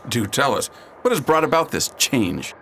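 A man's voice: mid-range voice, big pitch swings, measured pace, gravelly, fairly bright tone, a little hiss or hum, heavily acted. A man playing a doubtful, sardonic military commandant in a radio drama, with dry, formal old-fashioned speech. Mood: skeptical.

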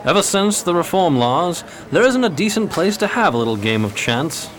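A man playing a courtly, uneasy gentleman gambler in a 1920s period radio drama, speaking formally. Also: on the high side, sing-song, moderate pace.

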